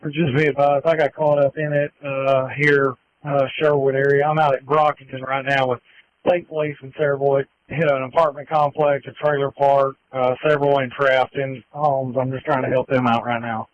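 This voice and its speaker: A man's voice: medium pitch, little pitch movement, moderate pace, no room sound, dull audio. A man reporting as a police officer over a two-way radio.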